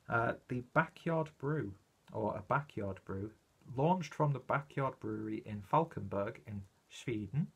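A man's voice: mid-range voice, slightly rough. A British man giving a casual, rambling video review, chatty and self-deprecating as he goes. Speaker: beer reviewer presenting own video channel.

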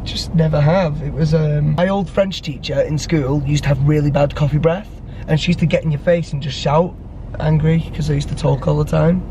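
A man's voice: fairly high pitch, fairly even intonation, normal speed, dark tone, slight background noise, poor audio. A young man co-hosting a vlog. He chats cheerfully and jokily, guessing word meanings aloud, into a camera held at arm's length. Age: young adult. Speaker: vlogger co-host. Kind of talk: casual vlog chat. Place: living room, then a car, then a shop.

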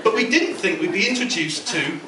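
Middle aged guy doing a best man speech over a pa, really noisy echoey room, measured joke telling. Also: high-pitched, lively intonation, distant mic. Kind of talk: prepared humorous wedding speech, read from notes to an audience. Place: indoor function room at a wedding reception. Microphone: handheld mic through a PA speaker, filmed from across the room. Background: loud room noise and guests.

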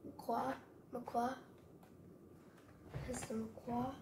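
A female reader going through a toddler picture book aloud, haltingly, stumbling over the harder words. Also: a little high, flat delivery. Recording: roomy echo, clear background noise.

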